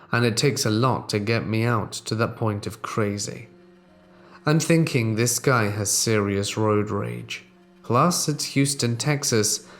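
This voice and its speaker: Guy narrating scary road stories, mid pitch, slow and deliberate, goes quiet at the tense bits. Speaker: horror story narrator. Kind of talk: scripted narration of reader-submitted true horror stories.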